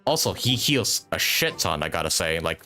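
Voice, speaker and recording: A man's voice: sits low, big pitch swings, moderate pace, bright, forceful tone, soft background music. A man doing casual live commentary as a game streamer, thinking aloud as he plays and swearing casually.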